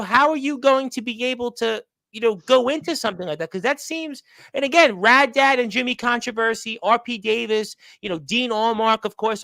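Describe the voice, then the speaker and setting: A man's voice: very high voice, gravelly, bright, forceful tone, no room sound. A man hosting a radio interview, asking long, rambling questions that circle back on themselves. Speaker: radio show host.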